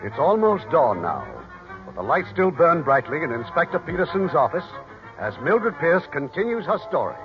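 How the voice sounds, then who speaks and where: A man's voice: on the high side, big pitch swings, normal speed. A man with an American accent narrating from a script as host of a 1940s radio theater. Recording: muffled 1940s radio, music behind.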